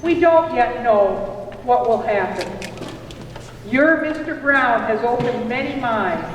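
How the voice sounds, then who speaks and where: Woman doing dramatic puppet show storytelling, high voice, really echoey hall, mic far off. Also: dull audio. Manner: dramatic, deliberate, expressive delivery. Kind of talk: theatrical live storytelling, addressing a puppet companion. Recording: very echoey hall, distant mic, faint noise.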